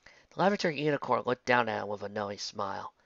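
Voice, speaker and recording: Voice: mid-range voice, animated, quick pace, vocal fry, fairly bright tone, no echo, muffled recording. A narrator reading a fan fiction story in a scripted storytelling style.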